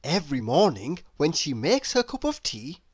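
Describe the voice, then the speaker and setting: A woman narrating a children's picture book aloud in an expressive, deliberate, theatrical storytelling manner. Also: low-pitched, husky, no room sound. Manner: deliberate, theatrical, with playful exclamations.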